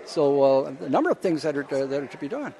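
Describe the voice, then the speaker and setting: Guy professor in an interview, kinda high gravelly voice, halting and rambly when he explains stuff. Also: big pitch swings, warm tone, poor audio. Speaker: college professor being interviewed. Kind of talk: informal interview answers.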